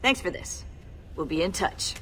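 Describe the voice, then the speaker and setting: An actress playing a brisk, wry young courier woman in a video game, with a dry, playful manner. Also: lowish voice, lively intonation, sharp tone, a little hiss or hum. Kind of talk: naturalistic video game acting.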